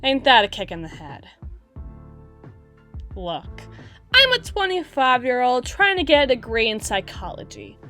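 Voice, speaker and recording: A woman plays a young drider girl working the door of a club, in an audio roleplay. Her voice sits fairly high and swings about expressively. She is guarded and dryly sarcastic, brusque and given to exasperated sighs, with music playing some way off behind her. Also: wildly swooping pitch, measured pace, pauses often, bright, forceful tone, exaggerated voice. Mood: irritated. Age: 20s. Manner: wry, brusque, theatrical delivery with exasperated sighs. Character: gruff, sarcastic, guarded 25-year-old drider girl bouncer. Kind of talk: ASMR roleplay audio drama.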